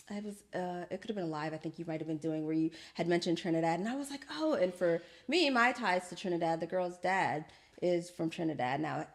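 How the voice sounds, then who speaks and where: A woman hosting a podcast, chatting casually and affirmingly, with lively colloquial phrasing. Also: on the low side. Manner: lively, colloquial, laughs often, affirming fillers. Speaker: podcast host. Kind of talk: casual interview chat.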